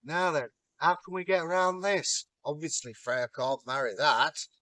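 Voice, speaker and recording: A man's voice: fairly high pitch, a little slow, pushed and bright, no echo. A man voicing a stern, world-weary old king of the gods.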